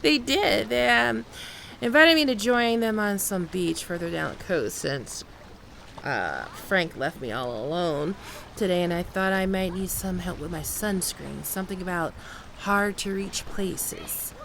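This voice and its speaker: A woman's voice: on the low side, lively intonation, creaky, a bit bright, a little hiss or hum, full character voice. A woman playing a flirtatious, playful holidaymaker in an ASMR roleplay, talking in a coy, teasing, conversational way. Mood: amused, coy. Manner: coy, teasing, giggly, unhurried with pauses.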